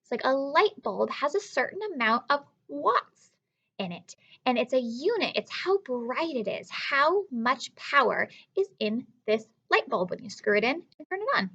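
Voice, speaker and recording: A woman with a fairly high voice speaks as an elementary teacher reading aloud to children. She explains in simple terms and puts encouraging questions to her young listeners. The recording sounds somewhat dull and lo-fi. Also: big pitch swings, normal speed, fairly bright tone, breathy edge. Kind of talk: read-aloud lesson for children with asides and questions.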